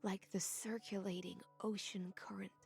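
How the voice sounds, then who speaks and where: A woman playing a wistful, solemn oracle of the sea in a video game, speaking in a hushed, lyrical way. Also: medium pitch, little pitch movement, moderate pace, vocal fry, faint music behind, natural voice. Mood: wistful, reverent. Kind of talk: dramatic video game voice acting.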